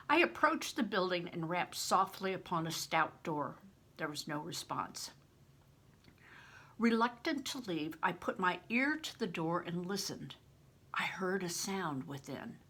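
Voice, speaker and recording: Breathy grandmother reading a novel aloud. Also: medium pitch, a bit bright. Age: older. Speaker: reader.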